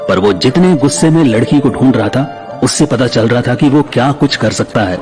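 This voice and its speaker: A man narrates a story in a dramatic, scripted style. His medium-pitched voice moves up and down in a lively way, with music clearly behind it. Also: a little fast.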